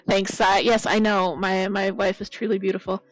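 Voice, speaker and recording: A woman chatting casually with viewers as the host of a crafting stream, her voice a bit croaky. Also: medium pitch, no room sound. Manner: chatty, rambling, greets viewers by name. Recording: loud blown-out mic.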